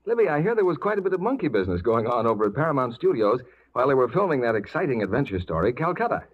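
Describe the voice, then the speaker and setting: A man's voice: mid-range voice, big pitch swings, very fast. A man working as announcer, delivering scripted 1940s radio advertising patter. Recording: muffled, slightly noisy 1940s radio recording.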